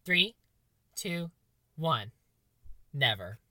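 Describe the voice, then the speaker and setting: Young man, very high voice, sharply bright tone, dry close sound, campy joking. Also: big pitch swings, extremely slow, dry recording. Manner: joking, campy, playfully dramatic. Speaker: podcast co-host. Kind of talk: casual chat.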